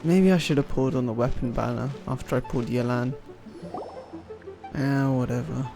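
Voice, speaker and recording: A man's voice: mid-range voice, steady tone, moderate pace, gravelly. A man giving casual, muttered gameplay commentary as he streams, offhand and lightly sweary. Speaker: video game streamer. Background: game music and effects.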